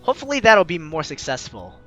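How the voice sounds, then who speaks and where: A man's voice: fairly high pitch, huge pitch swings, fairly quick, pushed and bright, soft background music. A man hosting a let's-play, reading a visual novel aloud and breaking off to laugh and comment.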